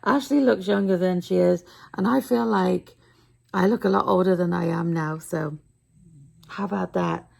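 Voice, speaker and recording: A middle-aged woman with a raspy, tired voice, chatting casually to camera in a rambling, self-deprecating daily vlog. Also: lowish voice, normal speed, darkish tone. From United Kingdom. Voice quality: raspy, gravelly. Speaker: vlogger.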